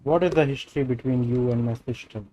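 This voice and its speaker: A man's voice: medium pitch, fast, dark tone, lo-fi sound, slight background noise. A man with an Indian accent, a native Hindi speaker, casually streaming a game and reading its text aloud. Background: game music.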